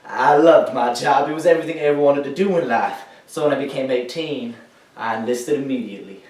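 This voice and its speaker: A young man acting a troubled, grieving war veteran in a theatrical monologue, halting and emphatic, swinging between hushed and loud delivery. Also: medium pitch, lightly acted. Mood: proud, intense. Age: teenager or young adult. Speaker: high school dramatic interpretation competitor. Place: echoey indoor room, recorded from far off. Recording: echoey room, distant microphone.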